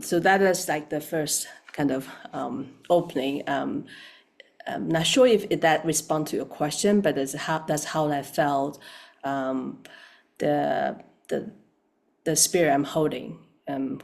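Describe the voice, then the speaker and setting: Female guest, low voice, unhurried and hesitant, reflective answers. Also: gravelly, darkish tone. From Taiwan. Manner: hesitant, searching for words, thoughtful. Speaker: guest, practitioner.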